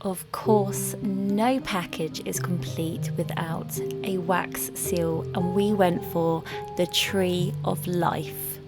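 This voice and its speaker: A British woman vlogging about crafts in a chatty, excitable, playful and cutesy way. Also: on the low side, takes it slowly, over loud music. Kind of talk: chatty craft vlog with narrated voice-over.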